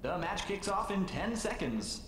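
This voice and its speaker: A man playing an excitable video game announcer who cheers and taunts in theatrical declarations. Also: high-pitched, measured pace, reverberant, slight background noise, pitched above the performer's own voice, full character voice. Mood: urgent.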